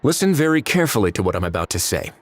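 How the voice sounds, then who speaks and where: A man playing a laid-back but authoritative investigator in a video game, speaking with dry, measured calm. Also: on the low side. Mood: stern, measured. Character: laid-back, authoritative male prison-official investigator, dryly wry.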